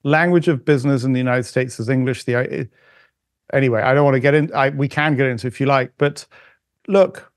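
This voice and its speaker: A middle-aged man talking as a podcast guest in casual conversation, halting with frequent restarts and fillers. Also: medium pitch, no room sound, studio quality. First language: not German.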